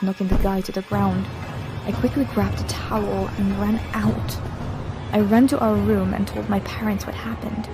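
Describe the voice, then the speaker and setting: A woman's voice: mid-range voice, rough recording. A woman reading a scripted scary story in the first person, as a narrator. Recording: loud background music. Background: music.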